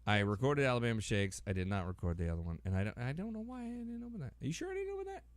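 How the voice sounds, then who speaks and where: A man's voice: mid-range voice, huge pitch swings, rapid-fire. A middle-aged American man hosting a podcast in a casual, rambling, self-deprecating way. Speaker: podcast host. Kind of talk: casual chat.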